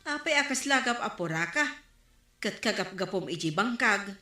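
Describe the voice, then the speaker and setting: Mannakibiang, natadem-timek a tindera. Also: female voice, mid-range voice, expressive, moderate pace, roomy sound, faint music behind. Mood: disapproving. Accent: Ilocano. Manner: forceful, disapproving. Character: bossy, blunt neighborhood storekeeper woman. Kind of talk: Ilocano radio comedy drama acting.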